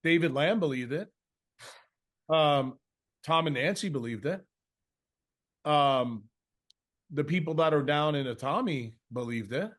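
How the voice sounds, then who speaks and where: A man with a fairly high voice speaks without a script, leading a question session. He is blunt and confrontational, swears freely, and scolds his listener with pointed rhetorical questions. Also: measured pace, pauses often, a bit bright. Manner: uses mocking imitations and rhetorical questions. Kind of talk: blunt unscripted lecturing in answer to a question.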